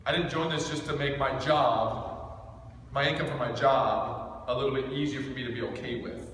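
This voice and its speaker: A man's voice: medium pitch, fairly even intonation, moderate pace, a bit bright. Intense man training in an echoey room. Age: thirty-seven. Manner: intense, emphatic, direct, peppered with rhetorical questions. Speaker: business team trainer. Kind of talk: informal motivational training talk to a group. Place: echoing indoor room with a small group. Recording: echoey room recorded from far off, faint noise.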